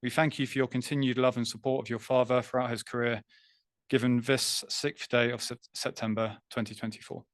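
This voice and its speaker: A man's voice: medium pitch, flat delivery, no echo. Formal male ceremony announcer. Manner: measured, formal reading. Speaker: master of ceremonies.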